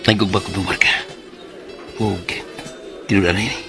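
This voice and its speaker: A man's voice: low-pitched, steady tone, normal speed, music in the background. A man playing an earnest, hesitant working-class driver in a radio drama, with a Cebuano Filipino accent. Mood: earnest, tender. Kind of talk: Philippine radio drama acting. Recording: noisy, with ambience and bird sounds.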